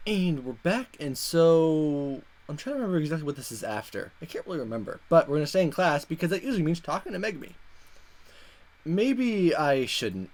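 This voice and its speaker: A man's voice: on the high side, sing-song, a little fast, a little mellow. A man hosting a gaming video, chatting casually and playfully as he reads a visual novel aloud. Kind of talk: casual let's play commentary and read-aloud narration.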